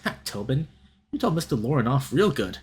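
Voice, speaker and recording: A man voicing a big, easygoing young male gator in a visual novel, gentle, with folksy, slangy talk. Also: mid-range voice, very animated, brisk, natural voice. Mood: playful.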